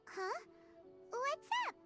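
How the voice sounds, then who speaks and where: A woman voicing a small, childlike, chirpy and indignant companion creature in a video game, with a squeaky, scolding delivery. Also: animated, very slow, exaggerated voice. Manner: exclamatory, scolding. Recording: game audio with loud music behind.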